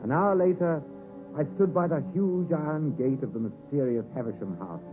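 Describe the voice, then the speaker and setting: An actor playing a grown gentleman recalling his boyhood in measured, literary 1950s radio drama narration. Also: on the high side, natural voice. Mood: reflective, earnest. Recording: tinny 1950s radio broadcast, music behind.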